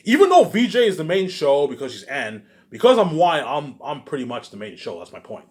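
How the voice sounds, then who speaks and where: Ranting man with wildly swinging pitch. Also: huge pitch swings, a little fast, darkish tone. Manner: emphatic and repetitive, punctuated with muttered tag words; profane, accusatory. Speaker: vlogger. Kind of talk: rambling, ranting monologue addressed to viewers.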